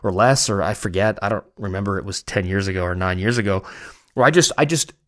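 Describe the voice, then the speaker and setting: A man's voice: on the low side, fast talker, a bit bright. A man with a Canadian accent hosting a podcast, chatting in a casual, rambling way with a joking, self-mocking manner. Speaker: podcast host. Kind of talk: casual, rambling personal chat.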